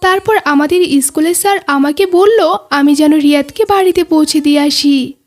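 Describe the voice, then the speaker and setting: A well-behaved little schoolboy voiced by a woman with a very high voice. He is courteous and earnest, carefully explaining things in a children's cartoon style. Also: moderate pace, lightly acted. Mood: polite, explaining. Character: dutiful, polite, well-behaved schoolboy friend. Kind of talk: cartoon dialogue.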